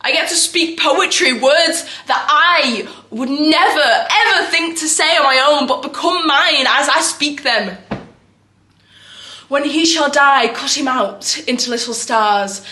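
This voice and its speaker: A woman's voice: high voice, a little slow, bright, forceful tone. A woman performing a theatrical monologue as a restless, self-doubting young actress, raw and defensive. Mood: earnest, wistful. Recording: echoey room recording.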